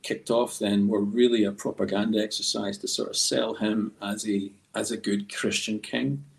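A man talking as a guest artist on a podcast, telling stories in a halting, reflective, discursive way. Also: on the low side, level tone, gravelly. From Scotland. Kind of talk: conversational storytelling in a podcast interview.

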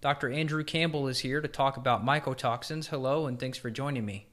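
A man's voice: medium pitch, brisk, fairly bright tone. A man hosting a health podcast, chatting casually and asking questions with easygoing fillers. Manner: casual, with fillers like "you know". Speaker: podcast host. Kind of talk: conversational podcast interview.

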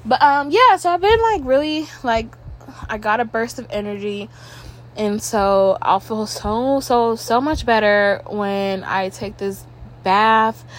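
A woman's voice: fairly high pitch, slow. A young woman with a stuffy nose, rambling casually at home as a podcast host, tripping over her words and swearing now and then.

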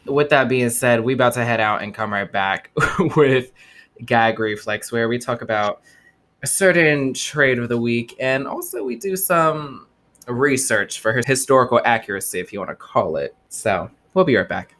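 A man with a bright, mid-pitched voice co-hosts a casual podcast. He jokes crudely and talks in an animated, playful way. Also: moderate pace. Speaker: podcast co-host.

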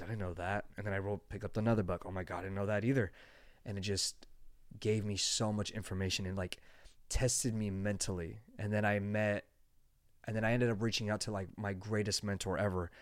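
Earnest young man, low and dry. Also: no echo. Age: 20s. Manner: earnest, rambling storytelling with many restarts. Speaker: podcast host. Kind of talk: casual interview chat.

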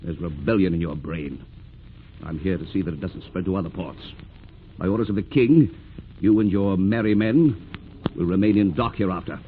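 An actor playing an imperious, menacing British naval captain in an old radio drama, stern and interrogating. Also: male voice, deep voice, moderate pace, natural voice. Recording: tinny, hissy old radio recording.

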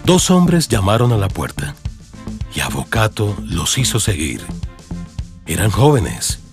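A man's voice: lowish voice, huge pitch swings, a little slow. A man with a Colombian accent narrating a dramatized audiobook in the style of a radio serial. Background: music under the voice.

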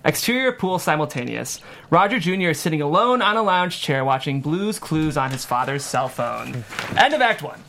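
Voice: medium pitch, big pitch swings, normal speed, fairly bright tone. A narrator reading scene headings and stage directions at a script table read.

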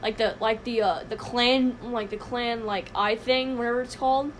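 Teen girl host, high-ish voice, rambling and excitable, faint background noise. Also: moderate pace. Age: teens. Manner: rambling, excitable, lots of fillers. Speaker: podcast host. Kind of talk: casual chat. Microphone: phone podcast app recording.

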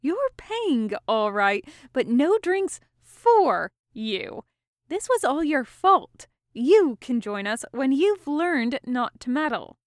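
A woman's voice: sits high, big pitch swings, slow pace, dry recording, studio quality, voice pushed up, heavily acted. A woman voicing a bold, careless pirate woman who teases and bosses her friends in a fantasy story. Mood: scolding. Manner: careless, teasing, commanding.

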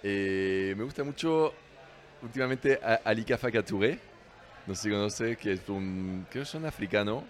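Low-voiced man. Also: moderate pace. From France. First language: French. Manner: relaxed, chuckling, restarts words often. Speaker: bar general manager, guest. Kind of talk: casual interview chat.

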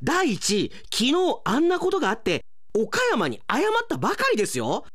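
A man playing an earnest, excitable, indignant male office worker in a melodramatic radio drama. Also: high voice, normal speed, slightly rough, voice pushed up, heavily acted. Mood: desperate protest. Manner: melodramatic, exclamatory, desperately self-justifying.

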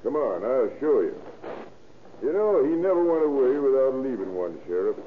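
An actor playing a plain-spoken, suspicious country neighbor in a 1930s radio crime drama. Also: male voice, medium pitch, big pitch swings, normal speed, close to an everyday voice. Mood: earnest. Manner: plain-spoken, folksy, insistent. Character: folksy, suspicious rural neighbor man helping the lawmen. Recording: tinny old radio recording, faint noise.

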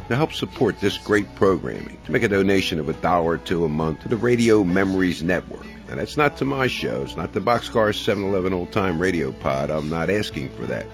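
A man hosting a podcast, delivering a spoken fundraising appeal straight to listeners. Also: sits low. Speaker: podcast host. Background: music.

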